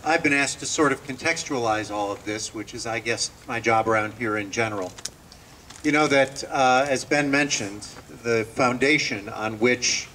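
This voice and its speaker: A man's voice: medium pitch, normal speed, bright, forceful tone. A man, a rabbi, giving an informal address at an outdoor ceremony. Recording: distant mic, faint outdoor noise.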